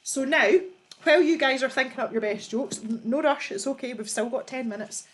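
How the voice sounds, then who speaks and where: A woman's voice: high-pitched, fairly quick, slight background noise. A woman hosting a casual livestream, reading out viewer jokes and laughing often as she chats. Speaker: livestream host.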